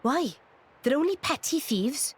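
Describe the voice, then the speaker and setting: A woman voicing a blunt, sharp-tongued young woman fighter who speaks in a brusque way. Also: high-pitched, animated, unhurried. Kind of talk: video game voice acting.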